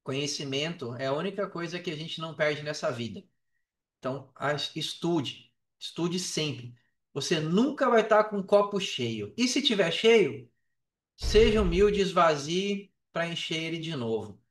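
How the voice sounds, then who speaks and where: A man's voice: on the high side, lively intonation, unhurried. A Brazilian man speaking as a podcast guest, giving casual advice in an informal, slangy, emphatic way with repeated phrases. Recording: roomy echo, distant from the mic.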